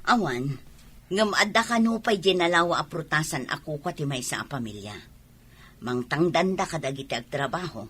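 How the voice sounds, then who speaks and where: Husky, scolding Filipino mother. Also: low voice, lively intonation, normal speed, a bit bright, heavily acted. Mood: matter-of-fact. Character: curt, scolding mother of a poor mountain family. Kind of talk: Filipino radio drama acting.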